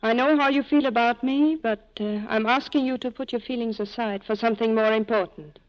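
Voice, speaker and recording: Torn, pleading heroine female actor, higher register, earnest vintage radio drama, muffled period recording. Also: a little fast, natural voice. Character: desperate, torn woman pleading with former lover. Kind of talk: 1940s radio drama acting.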